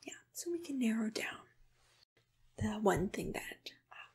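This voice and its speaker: A woman whispers softly and gently in an ASMR roleplay, asking questions in an attentive, breathy voice close to the microphone. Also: a little high, expressive, unhurried. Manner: soft-spoken, gentle, attentive personal tone. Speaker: ASMR roleplayer playing a monster matchmaker. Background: computer keyboard typing.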